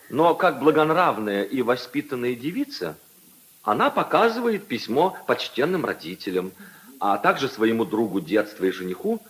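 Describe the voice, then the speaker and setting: An actor playing a witty aristocratic husband who entertains guests with theatrical, mock-solemn teasing storytelling in 1950s radio drama style. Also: male voice, medium pitch, fairly bright tone, poor audio.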